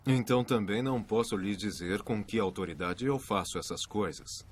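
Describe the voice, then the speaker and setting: Actor as deep-voiced, solemn messiah. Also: male voice, a little hiss or hum, lightly acted. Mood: calm authority. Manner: measured, solemn. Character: calm, authoritative male messiah telling parables. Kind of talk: dubbed animated Bible drama, storytelling.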